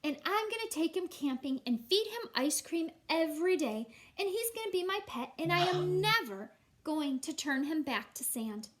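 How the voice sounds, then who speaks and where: An excited young storybook girl, voiced by a woman reading aloud, pitched high and loud. She speaks emphatically, proud and eager. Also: normal speed, hardly any pauses. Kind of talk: read-aloud children's storybook.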